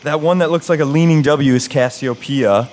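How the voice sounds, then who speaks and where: A man's voice: fairly high pitch, moderate pace, a little mellow, slight background noise, close to an everyday voice. A man playing a skeptical, nerdy young camper who explains things with wry teasing, in a live horror audio drama. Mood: lecturing, wry.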